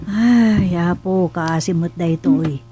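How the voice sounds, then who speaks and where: A woman's voice: lowish voice, unhurried, over music, lightly acted. A woman playing a nagging, scolding village woman in a comedic radio drama.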